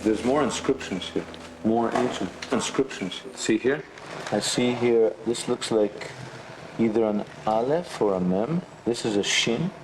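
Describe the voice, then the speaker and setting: A man on location examining inscriptions in a curious, animated way, asking questions. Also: medium pitch, measured pace, poor audio. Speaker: documentary host on location. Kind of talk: on-site conversation.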